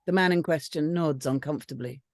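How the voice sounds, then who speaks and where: A woman's voice: deep voice, brisk, no echo, clean studio sound. A woman reading out screenplay stage directions as scripted narration.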